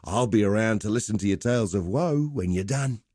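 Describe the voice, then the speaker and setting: A man's voice: lowish voice, fairly quick, dark tone, slightly rough, no echo, clean studio sound. A man playing a swaggering, cheerful arena fight promoter in a video game, with theatrical, chummy, slangy patter. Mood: brisk, goading. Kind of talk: video game character voice lines.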